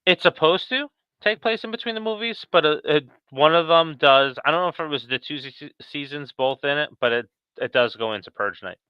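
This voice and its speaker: A man with a smooth, clean voice and a middling pitch hosts a casual film podcast. He talks in a loose, conversational way. The audio is close and dry, with a slightly muffled top end. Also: a little fast, dry recording. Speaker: podcast host. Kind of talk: casual chat about horror films.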